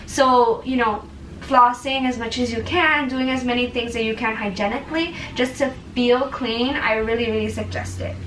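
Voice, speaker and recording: A bright-voiced young woman chatting straight to camera in an earnest, filler-heavy way. She sits a little back from the mic, so her voice rings around a bare, echoey room with a faint hiss of ambience under it. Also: fairly high pitch, far from the mic. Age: young adult. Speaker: vlogger. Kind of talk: casual advice vlog.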